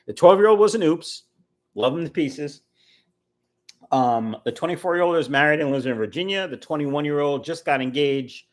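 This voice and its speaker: A man's voice: on the high side, lively intonation, moderate pace. A man in his early fifties, casually chatting on a livestream, answering viewer comments in a rambling, talkative way. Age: 50s. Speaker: fishkeeping livestream host reading chat. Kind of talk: casual live chat.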